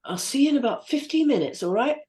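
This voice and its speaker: A woman reading the part of a gruff male hospital supervisor in his fifties in a script read-through, awkward and apologetic. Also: high voice, expressive, fast, dark tone.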